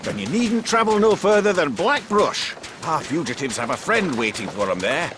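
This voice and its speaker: Jovial, swooping folksy fantasy traveller. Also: male voice, a little high, big pitch swings, moderate pace, noisy background. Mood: curious, friendly. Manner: folksy rustic speech with swooping exclamations. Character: jovial rough-spoken common traveller man, helpful rescuer. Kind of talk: fantasy video game voice acting.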